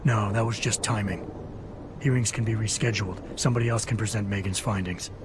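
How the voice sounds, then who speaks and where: An actor playing a brooding, guarded corporate security officer in a video game, terse and deadpan with a gravelly voice. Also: male voice, fairly low pitch, brisk, background noise, lightly acted. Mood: brooding, probing.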